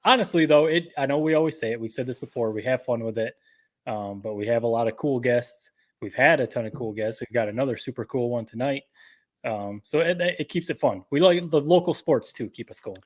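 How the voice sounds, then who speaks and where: A man's voice: mid-range voice, fairly quick. A man co-hosting a podcast, chatting casually. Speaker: podcast co-host. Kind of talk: casual chat.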